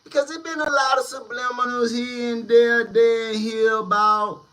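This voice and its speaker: A man hosting a casual street-news commentary channel, talking in slang with drawn-out, emphatic delivery. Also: very high-pitched, little pitch movement, pushed and bright.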